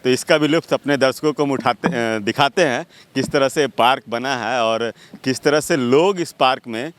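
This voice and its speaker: A male interviewer with a medium-pitched voice. He hosts an informal live chat on location, putting questions and addressing viewers. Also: normal speed. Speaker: interviewer, host. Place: outdoors in a park.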